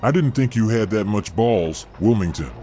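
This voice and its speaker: A man's voice: fairly low pitch, lively intonation, moderate pace, natural voice. A male narrator voicing a sadistic, whiskey-soaked Old West gang boss with a sneering, menacing drawl, over background music. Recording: music playing behind.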